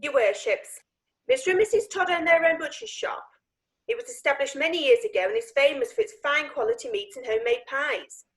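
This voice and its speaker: A woman as a high, animated courtroom lawyer. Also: a little fast, rough recording. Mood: earnest, businesslike. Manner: formal, emphatic. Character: brisk, earnest female courtroom lawyer. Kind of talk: courtroom stage play acting.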